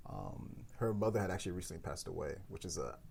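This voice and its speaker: Woman podcast host chatting casually, low mellow voice, bit of background noise. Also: big pitch swings, fast, gravelly. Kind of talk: casual round table discussion. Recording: faint background noise and room ambience.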